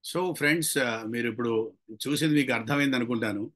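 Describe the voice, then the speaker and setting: A man's voice: medium pitch, brisk, a bit bright. A man teaching a public speaking class, stressing key words emphatically and mixing in English terms. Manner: mixes English terms and phrases into Telugu. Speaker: public speaking and voice modulation trainer. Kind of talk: interactive online class teaching.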